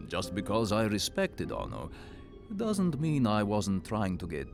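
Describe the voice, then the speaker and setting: A scheming alien senator from an animated series, pompous and theatrical. He draws his words out slowly in a creaky voice that swings widely in pitch, sounding thoroughly pleased with himself. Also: exaggerated voice. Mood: sly. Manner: pompous, theatrical, deliberate delivery. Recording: music behind the voice.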